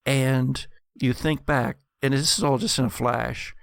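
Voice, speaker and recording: Male game master, measured and dramatic horror narration, gravelly edge, unhurried pace. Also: medium pitch, steady tone, dry recording, studio quality. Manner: dramatic, deliberate storytelling in second person.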